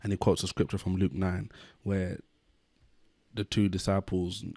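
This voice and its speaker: A man in his thirties speaks to camera in a low register, explaining scripture in an earnest, steady way. He leans on "basically" again and again. The sound is close and dry, with almost no room in it. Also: a little slow, dry recording. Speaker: channel host. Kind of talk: Bible-based commentary on a news story. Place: indoor room, talking to camera.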